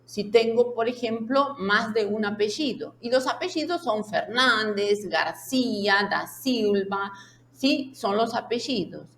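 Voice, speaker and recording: A woman's voice: a little high, lively intonation, unhurried, creaky, fairly bright tone. A woman teaching a language lesson in a didactic way, laying out example sentences and checking in with short questions. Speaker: Spanish teacher. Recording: echoey room sound.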